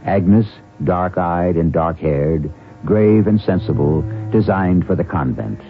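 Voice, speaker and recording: A man hosting a radio drama with deliberate, theatrical, scripted suspense narration. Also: sits low, lively intonation, soft background music. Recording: dull old radio broadcast.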